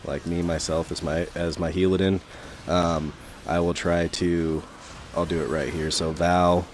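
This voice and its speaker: A young man commentating his gameplay in a casual, rambling way full of fillers, his voice a little raspy from talking so long. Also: low-pitched, flat delivery, measured pace, slight background noise. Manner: rambling, many fillers and restarts.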